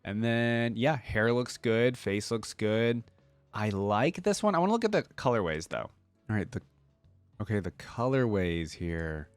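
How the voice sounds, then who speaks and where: A man's voice: fairly low pitch, animated, measured pace, clean tone, no room sound, soft background music. A man giving casual reaction commentary as a video host, thinking aloud in informal, slangy language.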